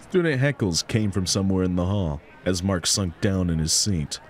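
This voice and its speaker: A man's voice: low voice, lively intonation, moderate pace. A man narrating a visual novel aloud as scripted story reading. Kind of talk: scripted narration with offhand asides.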